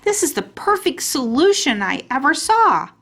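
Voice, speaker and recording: A woman voicing an excitable, sassy little kindergarten girl from a storybook, with childish, emphatic kid talk. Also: sits high, moderate pace, voice pushed up, heavily acted. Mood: delighted. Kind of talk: children's storybook character reading.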